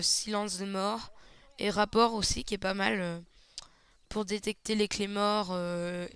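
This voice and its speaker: A man giving casual, hesitant play-by-play commentary over a shooter match, slightly hoarse, with lots of fillers. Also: sits high, fairly bright tone, no room sound. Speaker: gaming channel host. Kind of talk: gameplay commentary.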